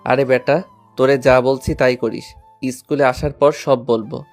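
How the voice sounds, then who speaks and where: Man playing an even-toned young schoolboy. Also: mid-range voice, moderate pace, gravelly, soft background music, natural voice. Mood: impatient. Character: affectionate, eager schoolboy, a doting older brother. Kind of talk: audio story acting.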